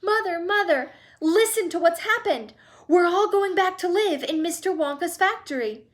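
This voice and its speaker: A woman's voice: a little high, animated, unhurried. A woman voicing an earnest, eager young boy in a storybook reading.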